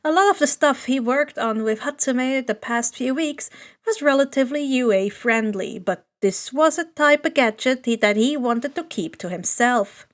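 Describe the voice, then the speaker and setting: A woman's voice: sits high, expressive, normal speed. A woman reading a story aloud as an audiobook narrator. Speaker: podfic narrator.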